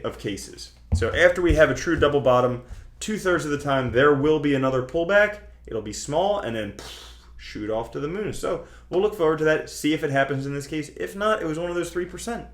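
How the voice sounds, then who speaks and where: A man's voice: a little high, lively intonation, brisk. A man hosting an online video, explaining things to viewers in a chatty, colloquial, emphatic way. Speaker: host of a cryptocurrency trading video channel. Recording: roomy, echoing sound.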